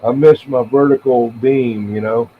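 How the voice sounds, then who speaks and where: Casting: American man in his seventies, average pitch, casual halting CB chatter, thin narrow-band audio with slight noise. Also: steady tone. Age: 70s. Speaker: CB radio operator and live streamer. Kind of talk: casual rambling radio chat. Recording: tinny band-limited radio audio with faint noise.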